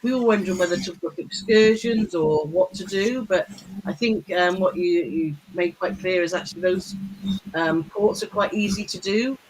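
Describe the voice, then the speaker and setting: A woman's voice: fairly low pitch, moderate pace, a little hiss or hum, low-quality recording. A British woman, a cruise vlogger guest, chatting and often asking her husband for agreement. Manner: chatty, asks for agreement with tag questions. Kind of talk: casual live-stream chat.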